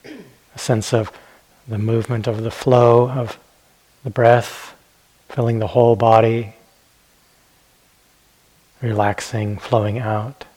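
A man teaching guided meditation in a gentle, unhurried manner, leaving long pauses between phrases. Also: mid-range voice, flat delivery, husky, rough recording.

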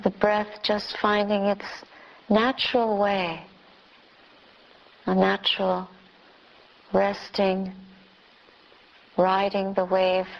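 A woman who is a Buddhist nun leading a guided meditation, speaking in short phrases with long pauses. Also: medium pitch, lo-fi sound.

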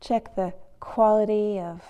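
A woman teaching a guided meditation in a measured, gentle manner with long pauses between phrases. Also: medium pitch, steady tone, phone-quality sound.

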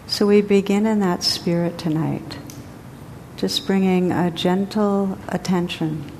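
A woman teacher guiding a group meditation in a gentle, unhurried way with long pauses. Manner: slow, gentle, spacious pauses. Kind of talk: guided meditation. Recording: long hall reverb, faint rumble.

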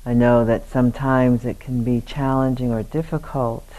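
Man gently guiding a meditation. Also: medium pitch, flat delivery, low-quality recording. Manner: unhurried, gentle, with long pauses between short phrases. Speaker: meditation teacher. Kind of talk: guided meditation.

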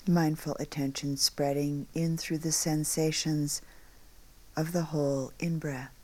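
A woman guides a meditation in a low, soft-toned voice. She speaks very slowly in short phrases with long pauses between them, and the ends often fall into a hush. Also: little pitch movement. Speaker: meditation teacher.

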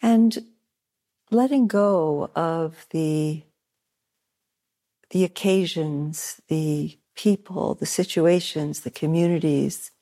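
Slow, mellow woman guiding meditation. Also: sits low. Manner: soft-spoken with long pauses, repeating phrases. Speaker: meditation teacher. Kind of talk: guided meditation. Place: meditation room at a retreat center.

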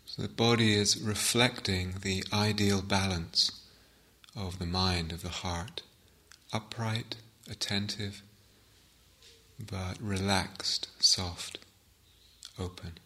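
A man giving guided meditation instructions as a teacher, gently and unhurriedly, with long pauses. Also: low-pitched, steady tone.

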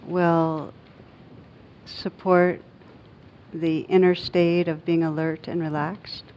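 A woman guiding a meditation as a teacher, speaking gently and unhurriedly in short phrases with long pauses. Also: level tone. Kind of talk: guided meditation. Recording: dull muffled recording with faint rumbling noise.

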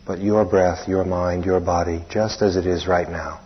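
A man with a deep, husky voice guides a meditation. He speaks in short, plain phrases and leaves long pauses between them. The recording is dull and muffled. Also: steady tone. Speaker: meditation teacher. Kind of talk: guided meditation instruction, spoken live.

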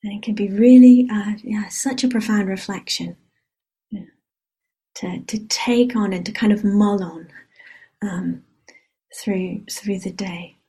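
A woman whose first language is Hebrew, a meditation teacher giving a gentle, unhurried dharma reflection and often checking in with a soft "yeah?". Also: medium pitch, steady tone, breathy edge, poor audio. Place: meditation retreat hall.

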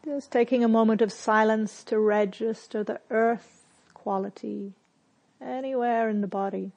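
A woman leading a guided meditation as a teacher, speaking gently and with measured, spare phrases separated by long silences. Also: on the high side, steady tone, creaky. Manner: gentle, measured, softly instructive.

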